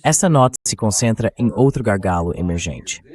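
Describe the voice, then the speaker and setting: Lowish male voice, dubbed interview host, wordy political commentary, conversational. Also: animated, normal speed, a little mellow. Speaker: translated voice-over of interview host.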